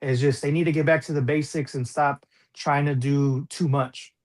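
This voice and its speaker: A man with a slightly high voice takes his turn in a casual sports debate. He talks colloquially and leans on repeated phrases to make his case. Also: steady tone, moderate pace, darkish tone. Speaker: sports panelist.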